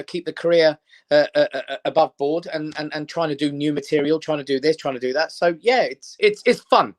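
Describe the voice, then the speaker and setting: British-born comic, Armenian first language, upbeat chatty guest, slightly high voice. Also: male voice. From Britain. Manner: chatty, upbeat, many fillers. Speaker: guest comedian. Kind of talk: casual chat.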